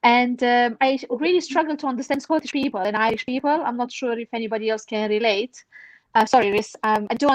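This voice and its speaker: A young woman, not a native English speaker, telling animated anecdotes casually on a panel podcast. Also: a little high, a little fast, dry recording, poor audio. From outside the UK. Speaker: podcast panel member, charity fundraiser.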